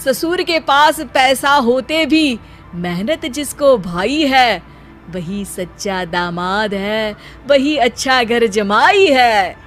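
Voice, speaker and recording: A woman voicing a cartoon young son-in-law who theatrically recites verse in a drawn-out way. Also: a little high, animated, soft background music. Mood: showy, pleased. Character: poetry-spouting, grateful young son-in-law living with in-laws.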